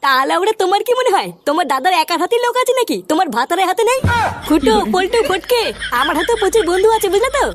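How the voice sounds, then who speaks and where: Woman doing a squeaky, brash young layabout man. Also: expressive, quick pace, over music. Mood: cocky boasting. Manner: cocky, slangy, foul-mouthed. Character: brash, boastful young man, idle club-going layabout. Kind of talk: comedy cartoon voice acting.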